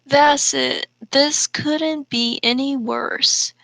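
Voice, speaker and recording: Slow-paced, higher-voiced woman. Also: fairly even intonation, creaky, sharp tone, no echo. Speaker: co-host. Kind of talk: casual commentary while reading fanfiction aloud.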